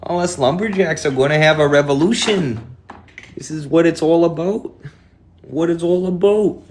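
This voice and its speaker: A man's voice: a little high, moderate pace, darkish tone, voice pushed up, natural voice. Man putting on a folksy sing-song lumberjack. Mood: jokey rallying. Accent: exaggerated Upper Midwest. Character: hearty male Canadian lumberjack rallying his crew.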